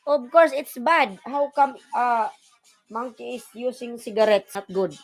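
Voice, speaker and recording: A woman with a Filipino accent and Tagalog as her first language, hosting a casual livestream and repeatedly calling out names and shout-outs to viewers. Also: on the high side, a little slow, faint music behind, poor audio. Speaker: livestream host. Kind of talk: casual live-stream chat, greeting viewers and reading comments.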